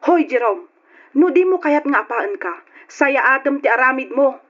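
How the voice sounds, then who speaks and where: A woman's voice: high voice, lively intonation, moderate pace. A woman playing a jealous, nagging wife who sounds wounded and accusing, in an Ilocano radio drama. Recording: muffled lo-fi old radio recording.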